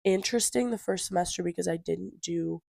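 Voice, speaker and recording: A woman's voice: fairly low pitch, fairly quick, gravelly, warm tone, dry recording, clean studio sound. An eighteen-year-old woman with a stuffy nose from a cold, chatting casually as a podcast co-host and filling her talk with fillers. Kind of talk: casual chat.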